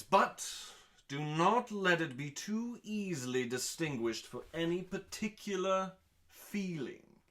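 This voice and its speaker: A man reading scripted comic narration in a mock-dramatic, pompous manner, pausing deliberately for effect. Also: fairly high pitch, a bit bright. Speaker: host reading comedic cookbook recipes.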